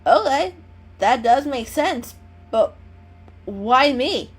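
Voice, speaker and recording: A woman's voice: sits high, expressive, takes it slowly, husky, soft background music, pitched above the performer's own voice, exaggerated voice. A woman voicing a teasing, mischievous gray male cat in a storybook, with a casual, cheeky manner. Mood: teasing, curious.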